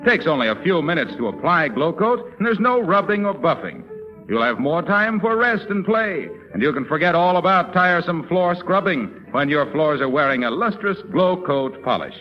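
Animated American male radio announcer, 1930s. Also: on the high side, normal speed, faint music behind. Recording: muffled 1930s radio broadcast.

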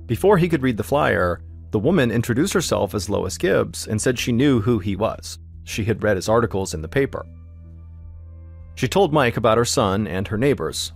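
Male narrator, low voice, steady suspenseful telling over faint music. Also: gravelly. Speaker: podcast host narrator. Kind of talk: scripted storytelling narration.

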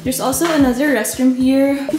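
A woman's voice: high-pitched, level tone, moderate pace. A young woman from the Philippines giving a bubbly, chatty house tour for her vlog, recorded on a handheld camera. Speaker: vlogger. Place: villa rooms and poolside. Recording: echoey rooms with loud music behind.

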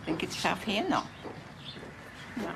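A woman's voice: medium pitch, expressive, vocal fry, noisy background. A woman in her eighties from Vienna, chatting and teasing at a small hair salon, recorded by a film camera a few metres away. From Vienna, Austria. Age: 80s. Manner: chatty and teasing, tosses back quick questions. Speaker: salon customer. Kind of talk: casual chat.

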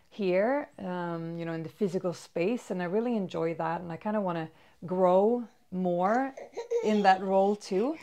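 A woman's voice: medium pitch, dark tone. A woman in her thirties with a Swedish accent, a fitness vlogger chatting casually and upbeat to a camera in a bedroom. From Sweden. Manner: chatty and upbeat, many fillers. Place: bedroom with toys, indoors. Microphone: far camera.

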